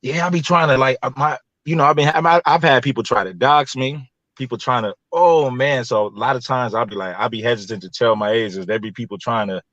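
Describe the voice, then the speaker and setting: A slightly dull, lo-fi but dry recording of an American man from Los Angeles. His voice is medium in pitch. He talks in a loose, colloquial, rambling way, like a content creator being interviewed. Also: a little fast, fairly bright tone, no echo. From Los Angeles, California. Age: 30s or early 40s. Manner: rambling, colloquial, repeats himself. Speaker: YouTube content creator, guest. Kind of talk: casual conversational interview.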